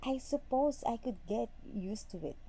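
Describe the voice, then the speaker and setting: Woman putting on a simple, henpecked storybook woodsman, mellow. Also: fairly high pitch, lively intonation, moderate pace, slightly rough. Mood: amused. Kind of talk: storybook character voice.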